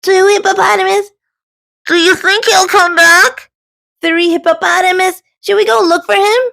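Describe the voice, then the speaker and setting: A woman's voice: extremely high pitch, fairly even intonation, normal speed, creaky, voice pushed up, full character voice. A woman voicing an eager, admiring duck who is a loyal friend in a children's storybook. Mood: worried. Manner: bright-eyed enthusiastic quacking. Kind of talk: children's storybook read-aloud.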